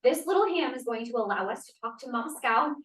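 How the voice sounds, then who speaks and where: An actress plays a clever young woman inventor, quick to defend herself, in a period stage play. Her voice is high, heard from some way off in a roomy space. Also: expressive, fairly bright tone. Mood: eager, proud. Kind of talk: 1950s period drama read at a table read. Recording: echoey room, distant microphone.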